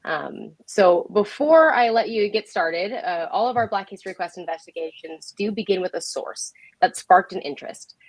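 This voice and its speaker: A woman's voice: on the low side, lively intonation, moderate pace, hardly any pauses, no room sound, poor audio. A woman speaking as the host of a livestream show, introducing and interviewing.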